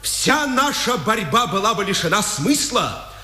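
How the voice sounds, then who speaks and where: An actor playing a proud, defiant communist prisoner of forty on trial, declamatory and impassioned, in a 1950s Soviet radio drama. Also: male voice, high-pitched, very animated, measured pace, pushed and bright, heavily acted. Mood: proud defiance. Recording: echoing hall, 1950s radio recording.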